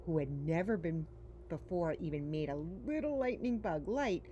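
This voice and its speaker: A woman's voice: sits low, mellow. A woman reading a children's picture book aloud as a story-time reader, expressively and with dramatic emphasis. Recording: faint background noise.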